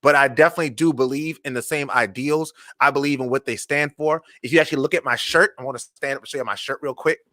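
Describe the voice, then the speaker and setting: An American man hosting a casual live interview, speaking haltingly and repeating words as he thinks. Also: medium pitch, fairly even intonation, pushed and bright. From Charleston, United States. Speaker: talk show host.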